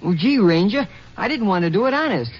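A sheepish boy from ranch country speaks in a high, rough-edged voice that jumps around in pitch. He is hesitant, evasive and respectful. The recording is a dull 1950s radio drama. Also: animated, fairly quick. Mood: sheepish confessing. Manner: hesitant, deferential.

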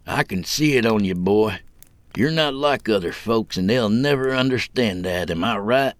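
A man voicing a calm old jailer who talks in folksy rural phrases, coaxing and conspiratorial, in a narrated story. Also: on the low side, normal speed, no echo, natural voice. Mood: low, coaxing.

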